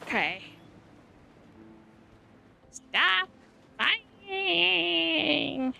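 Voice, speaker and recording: A woman's voice: very high-pitched, sing-song, extremely slow, creaky, bright, forceful tone, soft background music. A woman streamer giving playful reactions while playing a video game.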